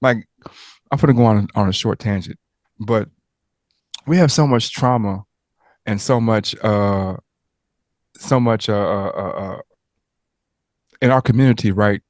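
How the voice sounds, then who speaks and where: Rambling, joking man host with a low voice. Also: measured pace, long pauses, no echo, studio quality. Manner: rambling, joking, prone to tangents and stammers. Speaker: interviewer and host. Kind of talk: casual interview chat.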